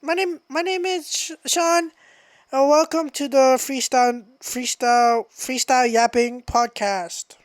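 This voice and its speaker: A young adult woman hosting a podcast in a casual, slangy, sweary freestyle ramble, scattered and excitable, close on the microphone. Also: sits high, a little slow, no room sound. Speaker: podcast host. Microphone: close microphone through a mixer.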